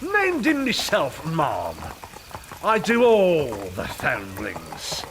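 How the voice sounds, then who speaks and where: A man's voice: fairly high pitch, wildly swooping pitch, very slow, fairly bright tone, a little hiss or hum, full character voice. A man playing a pompous, bullying middle-aged workhouse beadle in an audio drama, barking his words. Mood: officious.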